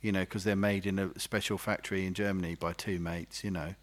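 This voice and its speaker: Male podcast co-host, lowish voice, easygoing banter, cheap mic. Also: flat delivery, fairly quick. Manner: joking, laid-back, trails off into murmurs. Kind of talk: casual chat. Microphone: cheap USB microphone.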